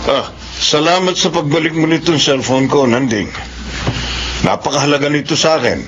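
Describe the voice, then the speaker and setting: A man's voice: a little high, moderate pace, over music. A man playing a generous, hearty businessman in a radio drama. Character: generous wealthy businessman, Sir Zoilo. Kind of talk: 1970s-style radio drama acting. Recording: dull lo-fi radio recording with hiss.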